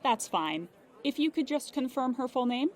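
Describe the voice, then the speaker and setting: A customer service agent in an audio drama with a notably high voice. She is formal, polite and unflappable, measuring out each phrase as if following procedure. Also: big pitch swings, normal speed. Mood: courteous. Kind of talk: audio drama acting, scripted phone service.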